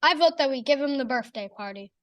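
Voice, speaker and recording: A woman's voice: sits high, expressive, fast. A woman playing a naive young boy in a kids' club, asking wide-eyed questions in a radio comedy recreation. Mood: innocent. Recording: noisy recording.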